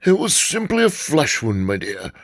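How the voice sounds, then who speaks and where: A man voicing a genteel gentleman adventurer in a radio drama, airy and courtly, brushing off danger. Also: medium pitch, wildly swooping pitch, normal speed, dry recording, clean studio sound, pitched above the performer's own voice. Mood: nonchalant.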